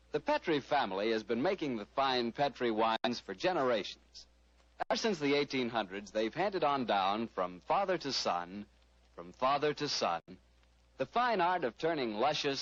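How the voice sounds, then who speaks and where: An American man announcing and hosting in a 1940s radio style, with smooth, emphatic salesmanship. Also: medium pitch, a bit bright, dry recording. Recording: dry, muffled vintage radio recording.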